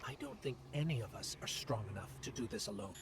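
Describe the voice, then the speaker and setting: A level-headed game companion with a medium-pitched voice. He sounds earnest and supportive, with clear ambient noise around him. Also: big pitch swings, quick pace. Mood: reassuring.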